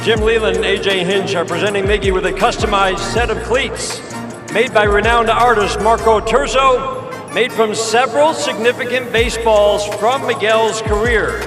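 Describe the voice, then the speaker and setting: A man's voice: sits high, fairly even intonation, normal speed. A man reading a scripted ceremonial announcement as an event announcer. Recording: echoing sound with prominent music.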